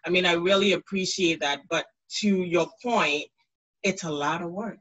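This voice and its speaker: A woman's voice: fairly low pitch, moderate pace, dull audio. A woman in her thirties hosting an interview over a video call from home, affirming her guest often. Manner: warm affirming interviewer, many mm-hmms. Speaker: interviewer, show host. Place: room at home. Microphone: video call webcam.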